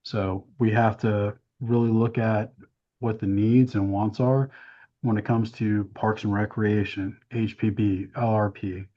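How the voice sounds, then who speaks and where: A man presenting a town budget at a council workshop, hoarse from losing his voice, with a hesitant manner full of "um"s. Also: fairly low pitch, steady tone, a little slow. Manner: hesitant, many "um"s, explains plainly. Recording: dull, muffled meeting audio.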